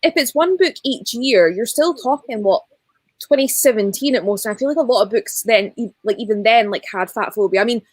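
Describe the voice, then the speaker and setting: A woman's voice: on the high side, brisk, no echo. A woman in a casual group book chat, talking informally and ramblingly and often quoting as she goes. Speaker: guest on a book readalong livestream.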